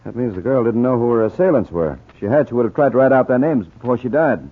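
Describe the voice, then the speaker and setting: A man playing a brisk, authoritative police lieutenant who questions and gives orders in a 1940s radio mystery. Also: mid-range voice, slightly rough. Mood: businesslike. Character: businesslike, commanding police lieutenant. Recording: muffled, narrow-band 1940s radio recording.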